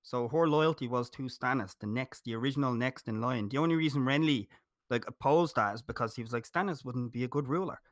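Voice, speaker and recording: A man's voice: medium pitch, fairly quick, no room sound, studio quality. An Irish man talking as a guest in a casual podcast chat, joking and emphatic. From Ireland.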